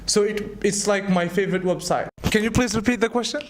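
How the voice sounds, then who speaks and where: A young man teaching English answers his students' questions in an animated way, often repeating a word before going on. His voice sits high for a man. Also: a little fast. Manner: animated, repeats words while thinking.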